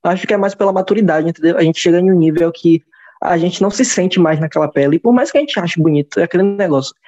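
A man's voice: sits high, fast talker, dark tone. Brazilian guy in his 20s, podcast chat, kinda rambly, dull muffled sound. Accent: Brazilian Portuguese. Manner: reflective, rambling with restarts. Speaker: podcast host.

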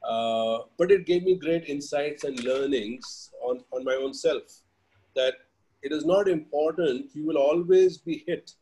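A man's voice: a little high, a little slow, mellow, slight background noise, rough recording. A middle-aged man with an Indian accent, speaking as a guest in a casual, reflective conversation. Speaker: interview guest.

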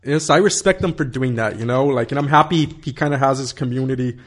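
A man's voice: medium pitch, quick pace. A man in his thirties telling a long story in casual conversation, rambling with many fillers, swearing and laughing.

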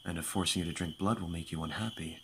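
A man voicing an aristocratic young vampire master, commanding yet doting, formal and measured, in an audio roleplay. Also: sits low, fairly even intonation, creaky, background noise. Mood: tender, doting. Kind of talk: ASMR audio roleplay acting. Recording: music behind the voice. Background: background music.

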